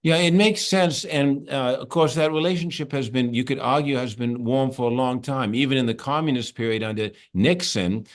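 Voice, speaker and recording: Rambling, halting male interviewer. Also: medium pitch. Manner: rambling, halting questions with restarts. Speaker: interviewer and host. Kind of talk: conversational interview.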